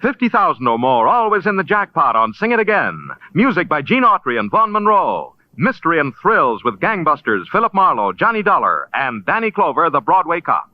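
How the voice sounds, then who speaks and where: A man's voice: on the high side, very animated, fairly quick, few pauses. A man announcing in a promotional old-time radio style. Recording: dull old radio broadcast.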